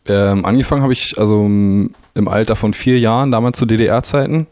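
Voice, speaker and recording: Young man, deep and warm, casual radio guest telling stories with easy laughter. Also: moderate pace, no room sound. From East Berlin, grew up in Brandenburg. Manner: relaxed, laughs often, anecdotal. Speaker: drummer, interview guest.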